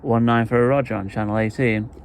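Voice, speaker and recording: A man's voice: mid-range voice, steady tone, fast, heavy background noise. A man giving a casual, mild and self-deprecating vlog talk. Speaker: video host.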